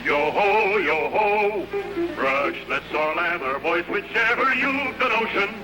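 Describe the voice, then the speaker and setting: A man's voice: sits high, animated, slow, loud music behind the voice, rough recording. A man delivering a rhymed vintage radio jingle, on an old cassette recording.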